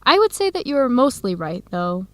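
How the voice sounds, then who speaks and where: Young woman, friendly and upbeat, highish voice, radio drama for learners, dry studio sound. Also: sing-song, normal speed, a bit bright, dry recording. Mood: thoughtful. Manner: clear, simple phrasing for English learners. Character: young American woman researcher, warm, upbeat adoptee. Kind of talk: scripted educational radio drama dialogue.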